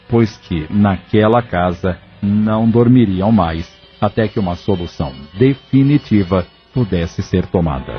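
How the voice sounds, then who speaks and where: Male audio novel narrator, muffled sound. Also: fairly low pitch. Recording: dull lo-fi audio novel recording.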